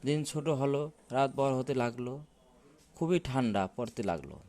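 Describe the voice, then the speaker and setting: A man with a medium-pitched voice reads a children's story as narrator. His delivery is that of scripted cartoon storytelling. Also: expressive, normal speed, mellow.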